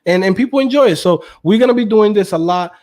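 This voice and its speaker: A man with a high, bright-toned speaking voice, chatting loosely as a guest. He is hyped and slangy and breaks into laughter often. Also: expressive, fast talker. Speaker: guest, coding course creator. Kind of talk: casual podcast chat.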